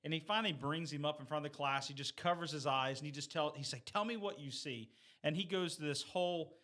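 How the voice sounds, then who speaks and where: A man's voice: a little high, fast talker, sharp tone. A man in a casual group chat, telling stories earnestly and repeating phrases as he goes. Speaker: discussion panelist; teacher.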